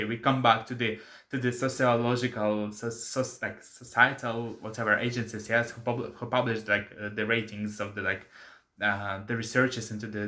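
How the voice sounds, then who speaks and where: Bright-voiced young Russian man, earnest. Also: on the low side. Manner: earnest, many fillers and self-corrections, apologetic. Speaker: channel host. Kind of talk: casual opinion vlog.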